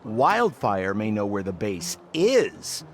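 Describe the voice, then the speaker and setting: A man playing a glib, theatrical, smooth-talking young rogue who works as a guide in a video game. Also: on the low side, wildly swooping pitch, slow pace, faint music behind, full character voice. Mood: glib, mock-hurt. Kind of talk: video game dialogue acting.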